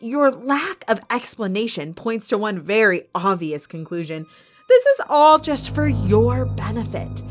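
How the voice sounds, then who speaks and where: A woman's voice: medium pitch, close to an everyday voice. A woman playing a proud, defiant boss of an underground fighting arena in an audio drama, firm and challenging. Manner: firm, challenging, self-assured. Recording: music under the voice.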